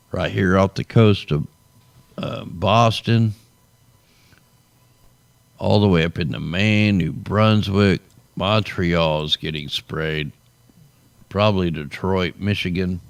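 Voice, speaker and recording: A man with a very low, gravelly voice talks casually through a weather commentary. He sounds tired and hoarse, as if his voice is giving out. The sound is close and dry, with no room around it. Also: no echo. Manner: loose, conversational, drawls "man" at line ends. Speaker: show host. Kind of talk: casual weather commentary over satellite maps.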